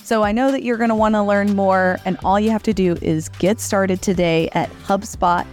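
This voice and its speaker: A woman's voice: mid-range voice, moderate pace, soft background music. A middle-aged woman co-hosting a podcast in a friendly, informal, conversational way. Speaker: podcast co-host.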